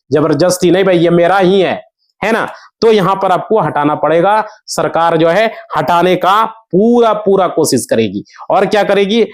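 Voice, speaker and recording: Male online explainer, Bihari accent, slightly high voice, chatty teaching style that keeps checking the listener follows. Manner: conversational, repeatedly checks listeners follow with tag questions. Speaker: online explainer of land law for villagers. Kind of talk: informal explanatory talk to viewers.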